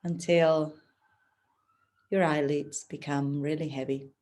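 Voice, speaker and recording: A woman teaching yoga nidra, giving gentle, soothing, unhurried guidance through a relaxation practice. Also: low-pitched, steady tone. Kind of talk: guided relaxation instruction.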